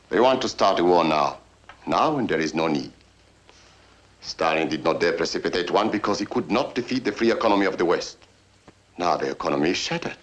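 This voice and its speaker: Low-voiced, imperious Soviet general. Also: male voice. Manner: grandiose, declamatory. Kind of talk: 1950s film acting. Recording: noisy old 1950s film soundtrack.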